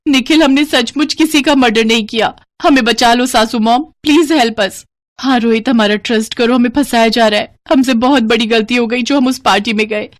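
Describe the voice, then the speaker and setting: A woman's voice: on the high side, no room sound, studio quality, voice pushed up, lightly acted. A woman voicing a spoiled, insolent young daughter-in-law in a cartoon story, with a whiny way of talking. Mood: pleading. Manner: whiny, insolent, mixes in English slang. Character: brash spoiled young modern daughters-in-law, bratty and defiant. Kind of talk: acted cartoon story dialogue.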